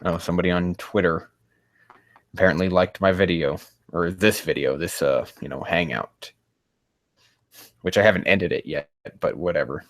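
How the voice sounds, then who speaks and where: A man hosting a casual livestream, rambling and haltingly muttering to himself, with loose, crude language. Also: low voice, fairly even intonation, fairly bright tone, no room sound, clean studio sound. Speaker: livestream host.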